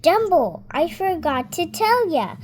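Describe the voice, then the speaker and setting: A girl of about seven reading a storybook aloud in a careful, halting, word-by-word way. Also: very high voice, sing-song, a little mellow, slight background noise. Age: child, about seven. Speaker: young reader.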